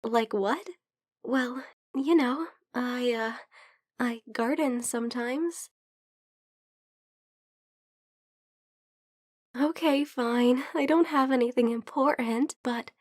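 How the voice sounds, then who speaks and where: A woman playing a gentle, teasing female vampire friend who is unwell, speaking softly and hesitantly in an ASMR roleplay. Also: fairly high pitch, slow, dry recording, clean studio sound. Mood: warm, thankful. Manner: soft, hesitant, playfully teasing. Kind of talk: soft-spoken ASMR roleplay.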